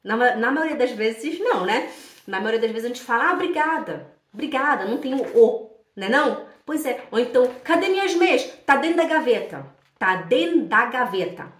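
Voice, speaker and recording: Brazilian lady from pará teaching german, kinda high voice, chatty, repeats stuff, far from mic in echoey room. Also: lively intonation. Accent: Brazilian Portuguese, from Pará. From Belém do Pará, Brazil. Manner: didactic, repeats phrases slowly and deliberately; chatty, checks in with listeners often. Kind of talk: informal language lesson.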